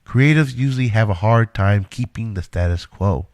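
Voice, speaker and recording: A man's voice: fairly low pitch, normal speed, darkish tone, dry recording, close to an everyday voice. A man playing a reluctant inventor in an audio drama, earnestly protesting for the work he is devoted to. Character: Einstein, a reluctant, indignant male inventor devoted to his work.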